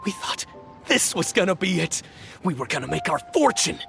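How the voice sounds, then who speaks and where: Struggling game merchant: fairly high voice, earnest, anxious, contrite, music clearly behind him. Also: male voice, big pitch swings, moderate pace, fairly bright tone, exaggerated voice. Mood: wistful. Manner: earnest, flustered, hesitant. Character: anxious, down-on-his-luck small-time merchant, contrite and pleading. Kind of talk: video game voice acting.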